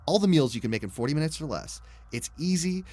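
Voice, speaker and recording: A man's voice: a little high, animated, quick pace, darkish tone. A man speaking as a podcast host in an informal, conversational sponsor read.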